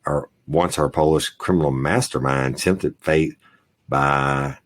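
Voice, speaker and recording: A man's voice: very deep voice, takes it slowly. A man co-hosting a crime news podcast, reading stories aloud with crude, joking asides. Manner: profane, jokey, reads headlines aloud. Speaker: podcast co-host. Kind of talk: casual crime news chat.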